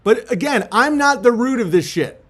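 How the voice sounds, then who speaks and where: A man's voice: very high-pitched, lively intonation, normal speed, fairly bright tone. A man chatting casually in slangy, sweary language as a host, partly reading a letter aloud. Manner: laid-back slangy talk with frequent swearing.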